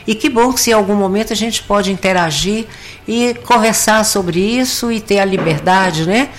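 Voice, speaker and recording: Hoarse Brazilian woman giving a reflection. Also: mid-range voice, normal speed. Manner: meandering, with many fillers and restarts. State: hoarse, with a cough. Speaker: lay speaker giving a weekly reflection. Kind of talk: gentle spoken religious reflection.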